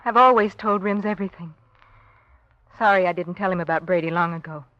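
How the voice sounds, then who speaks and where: An American actress playing an anxious, earnest wife under suspicion in a 1940s-style radio drama, speaking with emotion. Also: female voice, on the low side, a little fast, fairly bright tone, no echo, lightly acted. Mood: earnest, defensive. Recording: dull, dry old radio recording.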